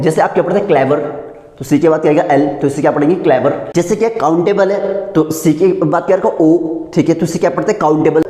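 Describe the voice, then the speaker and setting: A man's voice: high voice, warm tone. A man with an Indian accent teaching a pronunciation lesson, didactically asking questions and answering them himself. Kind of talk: classroom lesson in spoken English. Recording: echoey room with long reverb.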